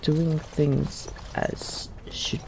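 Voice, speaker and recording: A man's voice: on the high side, flat delivery, drawn-out words, vocal fry, a little hiss or hum, low-quality recording. A man hosting a let's play, musing aloud in casual game commentary with some role-play. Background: game music.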